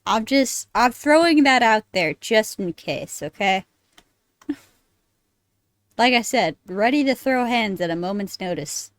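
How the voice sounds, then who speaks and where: Slow-paced woman game streamer. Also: on the high side, expressive, dry recording. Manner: wry, joking asides. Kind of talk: casual live gaming commentary.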